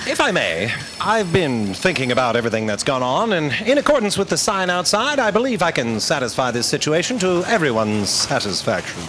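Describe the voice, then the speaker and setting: An actor playing an intrepid, courteous space detective, explaining things calmly in a staged audio drama. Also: male voice, fairly high pitch, fairly quick, hardly any pauses. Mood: calm, deductive. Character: intrepid male space detective, calm and polite. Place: theatre stage, indoors. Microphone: stand microphone. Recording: live stage recording with hiss.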